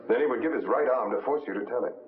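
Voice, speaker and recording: An actor playing a suave, wry American crime-fighter with secret powers in a 1950s film drama. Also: male voice, mid-range voice, rapid-fire, close to an everyday voice. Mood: courteous, probing. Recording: tinny, noisy old film soundtrack.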